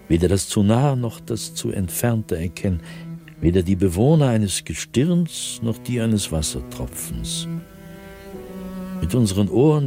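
An actor playing an anguished, haunted male writer in a radio drama, speaking his diary in a brooding, deliberate, husky manner with dramatic pauses. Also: lowish voice, a little mellow.

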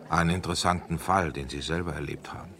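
A man playing an unhurried police inspector who tells his story in a tavern, in a 1970s German radio play, with deliberate delivery. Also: sits low, fairly even intonation, pushed and bright. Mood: unhurried, wry. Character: leisurely French police inspector yarning in a tavern.

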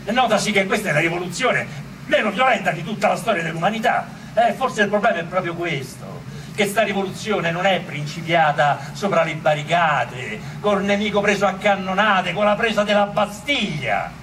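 A wry storyteller declaims. The radio-theatre delivery sits away from the microphone, with clear noise behind it. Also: male voice, sits high, lively intonation, normal speed. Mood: wry narrating. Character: wry popular storyteller of the Roman revolution. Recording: distant microphone, clearly noisy recording.

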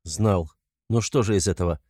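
A man's voice: deep voice, normal speed, a little mellow, breathy edge, dry recording, studio quality. A man playing a proud, overwrought young male suspect in a novel, speaking in emphatic, clipped, defiant declarations. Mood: curt.

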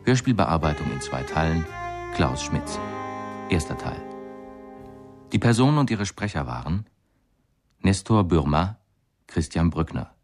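A man reading scripted closing credits for a radio play in a formal, measured manner. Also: very deep voice, sing-song. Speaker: closing announcer. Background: guitar music.

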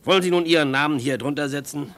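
Actor as curt, slippery ship's captain. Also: male voice, on the high side, fast, fairly bright tone, close to an everyday voice. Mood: smugly dismissive. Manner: curt, businesslike, impatient. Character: brusque, slippery, world-weary ship's captain. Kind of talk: radio drama acting.

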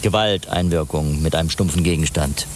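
A man's voice: extremely low pitch, moderate pace. A man acting in a radio drama as a sober forensic examiner who talks in a clinical, matter-of-fact way. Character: sober, factual male forensic bone examiner. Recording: faint background noise.

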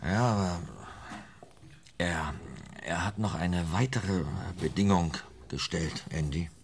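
A man's voice: deep voice, slow pace, vocal fry, heavily acted. An actor playing an evasive tailor turned informant in a German radio play, telling his story in a hesitant, ingratiating, roundabout way. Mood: evasive, careful.

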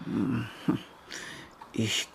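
An actress in a radio drama playing a wistful, grieving woman who speaks haltingly and plaintively. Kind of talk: radio crime drama acting.

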